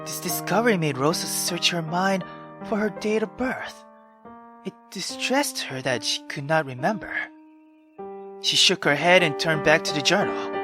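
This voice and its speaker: A woman reading a visual novel aloud as a gamer, dramatic and expressive, breaking off into exclamatory, sweary asides. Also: on the low side. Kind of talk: dramatic reading with reaction commentary. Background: game music.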